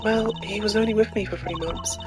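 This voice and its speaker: A woman's voice: mid-range voice, fairly even intonation, lightly acted. Woman playing a serene, wistful lady singer. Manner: measured, formal, reflective. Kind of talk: amateur video game character voice-over. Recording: game music behind the voice.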